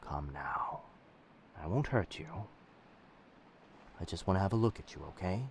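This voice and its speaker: A man playing a dangerous but gentle pirate captain in an intimate roleplay audio drama, protective and commanding, with old-fashioned seafaring speech. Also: sits low, animated, moderate pace, slight background noise. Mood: concerned.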